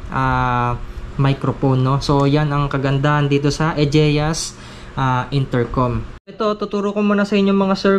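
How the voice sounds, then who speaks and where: A man's voice: fairly high pitch, slight background noise. A man giving a casual, polite step-by-step product tutorial as a gear reviewer. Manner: polite, addressing viewers respectfully.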